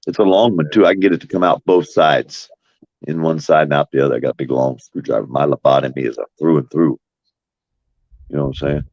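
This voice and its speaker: A man's voice: very deep voice, fairly quick, darkish tone, dry recording. A man from Florida, hosting a livestream and talking bluntly and profanely to his chat. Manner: blunt, cursing, combative. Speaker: livestream host. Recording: dry, dull lo-fi stream audio.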